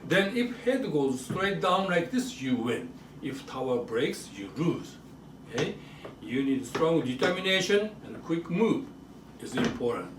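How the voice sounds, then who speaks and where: Slow-paced Japanese-accented man in an echoey room. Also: a little high, sing-song. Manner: simple short phrases, demonstrative, checks in with "okay?" and "right?". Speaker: author and presenter. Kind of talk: educational show-and-tell for children and families. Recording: roomy echo, distant mic, faint noise.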